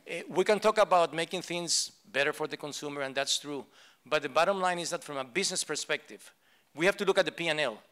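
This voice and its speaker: A man speaking on a conference panel, blunt and halting, with many fillers and restarts. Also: on the high side, fairly bright tone. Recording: live event room.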